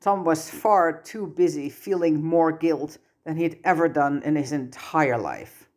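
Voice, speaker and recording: A woman's voice: deep voice, a little slow, darkish tone. A woman reading a story aloud as scripted audiobook-style narration. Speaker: amateur fan fiction narrator.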